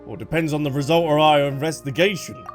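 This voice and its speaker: A man giving casual playthrough commentary as a game streamer, playful and jokey, reacting out loud. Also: a little high, fast. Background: game music.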